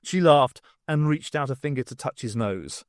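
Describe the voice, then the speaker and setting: A man reading a novel aloud as an expressive audiobook narrator, in an animated storytelling manner. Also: medium pitch, moderate pace, dry recording, studio quality. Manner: animated storytelling with acted dialogue.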